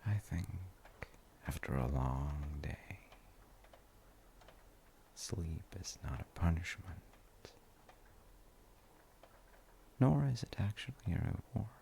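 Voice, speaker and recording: A man playing a gentle, reflective dad in an intimate audio roleplay, musing softly and reassuringly to a tired child with thoughtful pauses. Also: big pitch swings, a little hiss or hum, full character voice. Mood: tender, soothing. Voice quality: gravelly, creaky low voice. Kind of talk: soft intimate sleep-aid audio roleplay.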